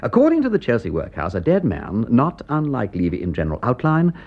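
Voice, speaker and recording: An actor playing an aristocratic English gentleman detective in a radio drama, with an upper-class English accent and an urbane, emphatic way of talking. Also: medium pitch, huge pitch swings, brisk. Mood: urgent, persuasive. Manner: urbane, emphatic, drawling question tags. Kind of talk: British radio drama acting. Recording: dull band-limited radio recording.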